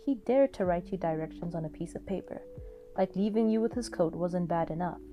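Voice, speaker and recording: Mellow woman narrating a story. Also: on the low side, fairly quick. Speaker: narrator of fan fiction audio story. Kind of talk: expressive scripted second-person narration. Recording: music under the voice.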